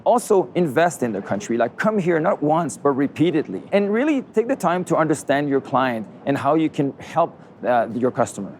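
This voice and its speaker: Earnest Chilean-born man, measured tone. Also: a little high, big pitch swings, noisy background. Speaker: senior trade commissioner. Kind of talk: interview. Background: background music.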